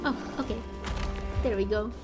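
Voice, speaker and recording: A woman's voice: mid-range voice, moderate pace. A woman streamer giving casual, playful, giggly commentary as she plays through a game. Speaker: let's play streamer. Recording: music behind the voice. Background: music.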